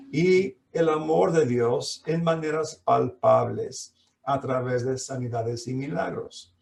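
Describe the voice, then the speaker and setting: Slow, gravelly man preaching in an echoey room. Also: medium pitch, a little mellow. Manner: slow preaching with frequent fillers and restarts. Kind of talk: sermon. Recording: echoey room, mic at a distance.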